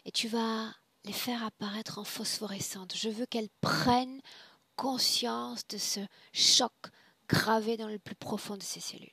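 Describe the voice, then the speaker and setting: A woman guiding a trance session in a solemn, deliberate, commanding way, often dropping to a breathy hush. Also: fairly high pitch, steady tone, no echo. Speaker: spiritual hypnosis session guide. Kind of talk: guided trance session, slow solemn address.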